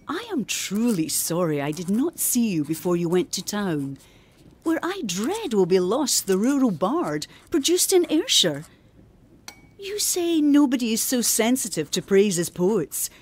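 A woman's voice: medium pitch, a little mellow, husky. An actress playing a genteel eighteenth-century lady, formal, earnest and admonishing, reading out a letter in a radio drama.

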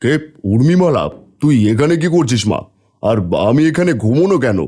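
A man's voice: medium pitch, expressive, normal speed, dark tone, slightly rough. Man playing a fatherly king over music. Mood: puzzled, fond. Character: fatherly fairy-tale king.

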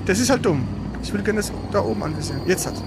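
A gamer giving casual, colloquial commentary on their own play, exclaiming readily and swearing mildly, with an excitable manner. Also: mid-range voice, fast, noisy background.

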